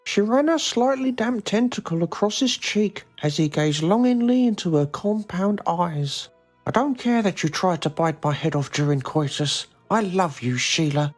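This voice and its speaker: A man voicing an earnest, melodramatic, lovelorn shut-in in a comedy game. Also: high voice. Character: eccentric, lovelorn grown man grounded in his bedroom. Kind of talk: mockumentary game acting, dramatic reading.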